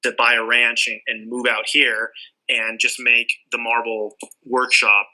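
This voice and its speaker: A man's voice: medium pitch, little pitch movement, measured pace, bright, forceful tone, poor audio. A man from Southern California, a sculptor and art teacher, chatting casually in an interview and rambling with lots of "like" and restarts. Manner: rambling, many likes and restarts.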